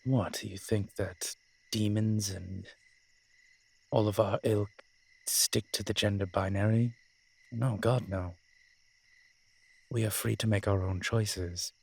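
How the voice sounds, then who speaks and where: A very deep, husky incubus demon played by a man talks straight to the listener in a roleplay audio drama. He is wry and flirtatious, teasing with a sardonic edge, and takes his time with dramatic pauses. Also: fairly even intonation, a little mellow, heavily acted. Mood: wry, explanatory. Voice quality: husky, breathy low voice.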